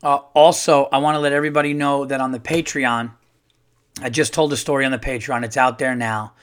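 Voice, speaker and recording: A middle-aged man, a comedian hosting his own podcast, chatting casually and rambling with lots of fillers, his voice a little hoarse from touring. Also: mid-range voice, fairly even intonation, a little fast. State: slightly hoarse, losing his voice. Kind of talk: casual solo podcast monologue.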